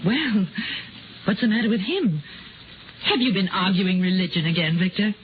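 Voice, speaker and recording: An actress playing a scientist's chatty, affectionate, inquisitive wife in an American 1950s radio drama. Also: female voice, mid-range voice, moderate pace, lightly acted. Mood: concerned, probing. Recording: muffled, noisy 1950s radio recording.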